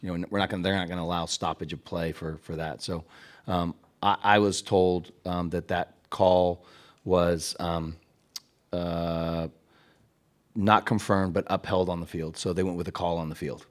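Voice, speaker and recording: A middle-aged man, a head coach, answering press questions at a postgame press conference in halting sentences full of restarts, into a table mic. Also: deep voice, little pitch movement. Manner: halting, many restarts and fillers.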